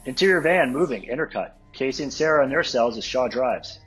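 A man's voice: mid-range voice, lively intonation, a little fast, fairly bright tone, faint music behind, rough recording. A man narrating scene headings and stage directions from a script.

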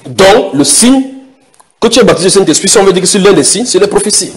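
A man's voice: sits high, lively intonation, crowd noise. A man preaching a sermon, questioning the congregation and stretching out key words for emphasis. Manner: emphatic, questions the audience, drawn-out stresses.

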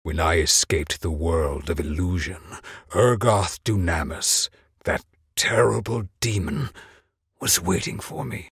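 An actor playing a grave, proud, aging knight master in a video game, dramatic, halting and deliberate. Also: male voice, low-pitched, creaky, a bit bright, slightly rough, no room sound, clean studio sound, full character voice. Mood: grim, menacing.

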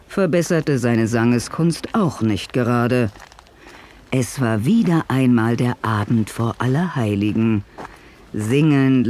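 An actor as the storyteller of a spooky tale, giving measured scripted narration in an audio drama. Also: male voice, medium pitch, darkish tone, slight background noise, natural voice.

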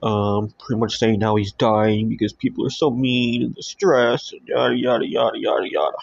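A man's voice: lowish voice, fairly even intonation, normal speed, creaky. A man with a stuffy nose hosting a reaction channel, making dry, mocking remarks over a clip in a dim small room. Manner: sarcastic, mocking interjections. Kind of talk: casual commentary over a played clip. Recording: dull lo-fi sound.

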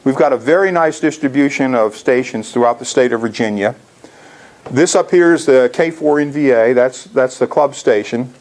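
A man's voice: mid-range voice, moderate pace, darkish tone. An American man in his sixties explaining things casually as he presents slides to a club in a lecture hall. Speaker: contest organizer presenting to a club.

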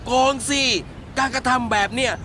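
A man voicing an excitable, indignant Thai-Chinese shopkeeper father in a cartoon, with an exaggerated Chinese accent in his Thai. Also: extremely high pitch, normal speed, a little hiss or hum. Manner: exaggerated, exclamatory. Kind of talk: cartoon voice acting.

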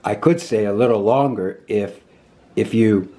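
A woman's voice: extremely low pitch, measured pace, mellow, pitched below the performer's own voice. A woman voicing a good-natured, simple male teddy bear from a children's storybook, naive and hesitant, easily flustered. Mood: hesitant, polite.

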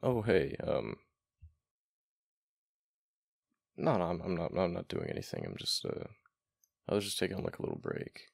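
Casting: male actor as affectionate, flustered gamer boyfriend for ASMR roleplay, very creaky low voice, dry close sound. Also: wildly swooping pitch, no echo, heavily acted. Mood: flustered, sheepish. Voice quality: deep, gravelly. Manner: halting, stammering, murmured.

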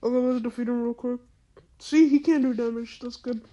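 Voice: mid-range voice, steady tone, fairly quick, warm tone, dull audio. A female-sounding voice through a voice changer, giving casual gameplay commentary in an exclamatory, incredulous, slangy and repetitive way. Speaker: gaming video host.

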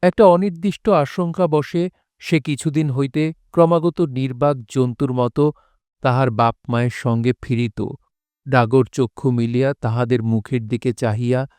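A man narrating literary prose for an audio drama in a solemn, measured, dramatic way. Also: medium pitch, a little mellow, dry recording, studio quality.

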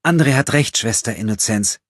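Man putting on a gentle, firm, higher-pitched woman. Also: expressive, normal speed, breathy edge, dry recording, studio quality. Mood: soothing. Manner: soft but firm, conciliatory. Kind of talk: audiobook character acting.

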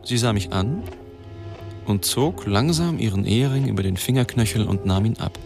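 An actor in an audio drama playing an earnest, dogged, world-weary newspaper reporter who is direct but slightly hesitant. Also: male voice, on the low side, animated, moderate pace, vocal fry, over music. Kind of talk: German audio drama acting and narration.

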